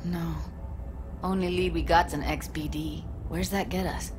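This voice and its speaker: An actress playing a blunt, foul-mouthed young tech rebel in a video game, bitter and fiery. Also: female voice, fairly low pitch, fairly even intonation, a little slow, noisy background, close to an everyday voice. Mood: bleak, angry. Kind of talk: video game dialogue acting.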